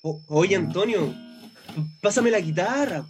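An actor as a young Chilean guy looking out for his friend in a radio play. His voice is high and he speaks slowly, in loose street slang, worried and fed up. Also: animated, soft background music. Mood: alarmed, exasperated. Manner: colloquial, slangy. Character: tipsy young man, worried loyal friend, exasperated. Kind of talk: naturalistic radio drama acting.

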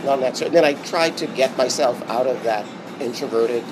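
Bahamian male interview guest, reflective, halting with ums, mid pitch, steady background hum. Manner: reflective, many ums and restarts. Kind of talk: casual interview talk.

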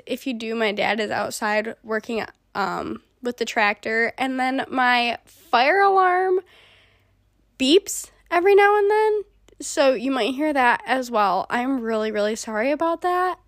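A woman's voice: high voice, a bit bright, no echo, clean studio sound. A young woman in her twenties hosting a casual solo podcast, congested from a cold, chatty with frequent ums. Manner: chatty, many ums, laughs often. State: sick, congested. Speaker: podcast host.

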